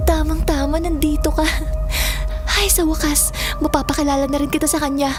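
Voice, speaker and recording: A woman playing an earnest little girl, very high-pitched. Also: little pitch movement, a little fast, close to an everyday voice. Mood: eager, puzzled. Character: earnest young girl insisting her imaginary friend is real. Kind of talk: Filipino audio drama acting. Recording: music under the voice.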